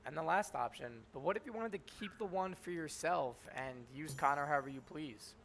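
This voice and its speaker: A man's voice: on the high side, fairly quick, fairly bright tone, a little hiss or hum. A man hosting a video game guide, giving informal, wry walkthrough commentary.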